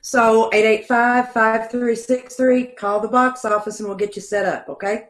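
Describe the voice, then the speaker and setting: A woman's voice: on the high side, little pitch movement, normal speed. A middle-aged woman in a room at home, chatting and giving casual announcements over a video call. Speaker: co-director and producer of a radio troupe. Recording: roomy, echoing video call.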